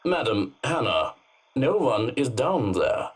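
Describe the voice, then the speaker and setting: An actor playing a stoic, formal head butler and former doctor, speaking in a clipped, dry, condescending manner. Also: male voice, mid-range voice, sing-song, slow, slightly rough, heavily acted. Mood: puzzled, firm.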